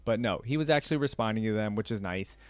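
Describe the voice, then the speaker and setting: A man's voice: fairly low pitch, fast, no room sound. A man hosting a reaction video, talking casually and thinking aloud in slangy, conversational commentary.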